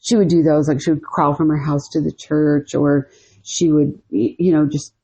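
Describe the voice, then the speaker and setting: A woman's voice: low voice, little pitch movement, normal speed, warm tone, breathy edge, poor audio. A woman co-hosting a podcast, telling a story casually, rambling with lots of "you know" and "like". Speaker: podcast co-host.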